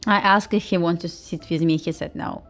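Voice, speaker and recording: A woman's voice: lowish voice, fairly even intonation, normal speed, soft background music. A woman with a Russian accent hosting a casual trading livestream, chatting informally and commentating in slightly non-native English. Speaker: host, futures day trader.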